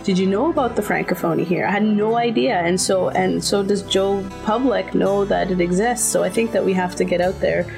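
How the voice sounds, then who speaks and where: A woman's voice: mid-range voice, a little fast, gravelly, few pauses, over music. A middle-aged woman whose first language is Finnish, answering interview questions in a thoughtful way, with many fillers and restarts.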